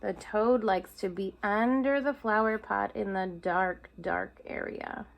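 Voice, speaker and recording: A woman's voice: mid-range voice, slow pace, gravelly. A woman teacher reading a picture book aloud to young children, stopping to point out and explain the pictures. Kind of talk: storybook read-aloud for children.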